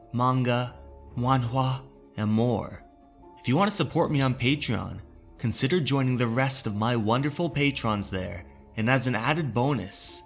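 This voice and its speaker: A man with a mid-pitched voice speaks as a storyteller and host. His delivery is slow, measured and deliberate, with music playing underneath. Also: fairly bright tone. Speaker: narrator and channel host. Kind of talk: scripted horror story narration and channel sign-off.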